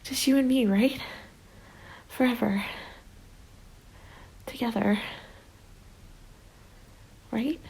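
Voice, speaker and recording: A woman performs a desperate woman who yearns to join the undead. Her voice is raised in pitch yet breathy and whispery, with some creak. She coaxes eagerly and unsteadily, in ASMR roleplay style over music. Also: very slow, pauses often, darkish tone, exaggerated voice, poor audio. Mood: eerie pleading.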